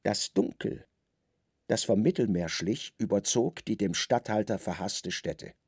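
A man's voice: on the low side, a little slow, a little mellow, husky, no room sound, studio quality. A man giving a solemn literary narration in a radio play.

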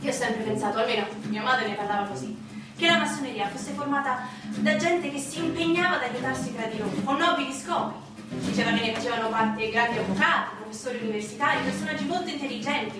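A woman's voice: on the high side, fast talker, natural voice. An actress playing a banker's mature widow in a theatrical interview, guarded, defiant and bitterly loyal to her dead husband. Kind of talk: theatrical stage interview dialogue. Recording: very echoey, distant, music under the voice.

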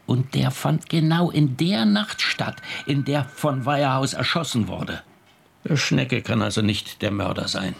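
Chief inspector, dry, matter-of-fact, gravelly, mid pitch, radio crime play. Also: male voice, unhurried, a little hiss or hum. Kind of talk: radio drama acting.

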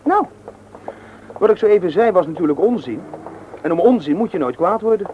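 A man's voice: high voice, sing-song, a little fast. A young man playing a cheeky, stubborn teenage schoolboy in a radio drama, teasing and flippant. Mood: teasing, earnest. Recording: dull, noisy old radio drama recording.

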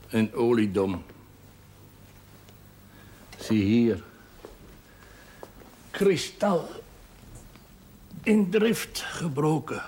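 An actor playing a seventy-six-year-old priest, speaking slowly and solemnly in a husky voice, in a 1970s television drama. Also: male voice, lowish voice, darkish tone, exaggerated voice. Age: 70s. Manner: slow, measured, solemn delivery. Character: old male priest, wise and melancholy.

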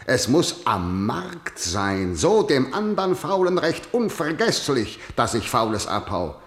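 A man's voice: mid-range voice, sing-song, takes it slowly, echoey room. An actor playing a harsh, vengeful old king who declaims classical verse in a commanding manner for radio drama. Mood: stern, forceful. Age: older.

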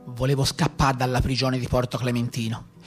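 An actor playing a rugged escaped brigand who tells his own story in a Tuscan accent, in a brisk, matter-of-fact theatrical style. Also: medium pitch, little pitch movement, over loud music, lightly acted. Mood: brisk, gritty recounting. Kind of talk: first-person theatrical storytelling.

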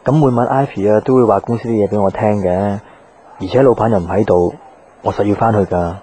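A man's voice: fairly low pitch, fairly quick, dark tone, slight background noise, natural voice. A man acting a reserved, doubting young boyfriend in a radio drama, understated and natural, with a Hong Kong accent. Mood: restrained, piqued. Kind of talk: Cantonese radio drama acting with inner monologue.

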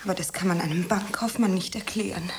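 An actress playing an earnest, self-important young beginner in the theatre, speaking dramatically in a German radio play. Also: female voice, lowish voice, fast talker, natural voice. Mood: firm, self-important. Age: young adult. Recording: steady background hiss.

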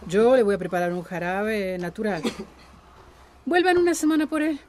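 An actress playing a brisk, direct, self-assured village herbal healer in a radio drama. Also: female voice, medium pitch, sing-song, a little mellow, a little hiss or hum, natural voice. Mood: brisk, curt.

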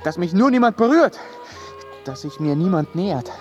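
A man's voice: high-pitched, big pitch swings, lo-fi sound, over music. An anguished, fragile man of glass. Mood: fearful, plaintive. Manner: anguished, theatrical, halting with repeated words. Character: delusional man convinced he is made of glass, fragile, fearful. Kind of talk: radio drama monologue.

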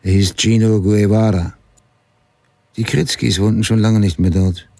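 A man's voice: fairly low pitch, steady tone, measured pace, vocal fry, warm tone, dry recording. An actor in a radio play playing an obsessed crime writer who narrates his investigation in a terse, restrained way. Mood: brooding, matter-of-fact.

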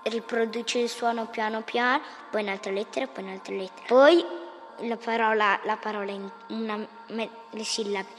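A young-sounding female in a radio play, speaking in simple, childlike, halting and repetitive phrases. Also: fairly high pitch, steady tone. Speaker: performer in a live radio play. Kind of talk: simple childlike dialogue and teaching. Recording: very reverberant hall, faint music.

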